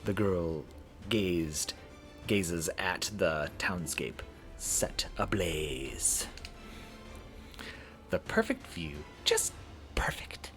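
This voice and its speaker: A man streaming a visual novel playthrough, reading it aloud in a halting, dramatic way and correcting himself. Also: sits low, sing-song, a bit bright, soft background music.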